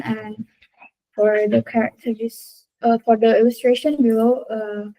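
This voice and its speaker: A woman's voice: on the high side, dark tone, poor audio. A young woman, a university student, presenting her art portfolio to her class in a careful, hesitant way with many fillers. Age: young adult.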